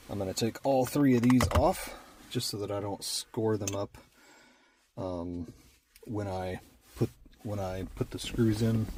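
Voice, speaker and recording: A man's voice: on the low side, background noise. A man giving a casual, plain-spoken hands-on tutorial, talking through each step as he works. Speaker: vlogger doing a DIY truck install.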